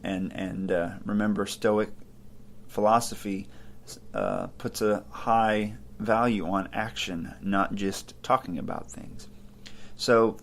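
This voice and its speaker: A man's voice: lowish voice, creaky, slight background noise. A man hosting a podcast, talking casually and thinking aloud with fillers and restarts. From Ohio, United States. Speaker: podcast host. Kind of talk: casual podcast commentary on philosophy.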